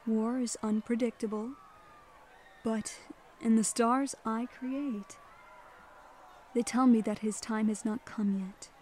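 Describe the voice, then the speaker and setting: A woman playing a wise, motherly pony monarch in a radio drama, stately, formal and calm. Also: a little high, takes it slowly, long pauses, slight background noise. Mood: soothing. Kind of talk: fan radio drama acting.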